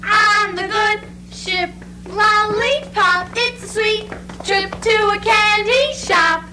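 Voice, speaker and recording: A woman's voice: extremely high pitch, fairly even intonation, takes it slowly, bright, forceful tone, heavily acted. A woman playing a perky 1930s child film star in a cutesy sing-song, on a noisy stage recording. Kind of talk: child star impression in readers theatre.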